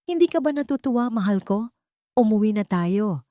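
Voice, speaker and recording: A woman's voice: mid-range voice, animated, moderate pace, dark tone, no echo, clean studio sound, voice pushed up, lightly acted. A woman with a Filipino accent voicing a cruel, controlling rich man in a dramatic story, domineering and demanding. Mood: menacing, demanding. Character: cruel, controlling rich man bullying his captive beloved.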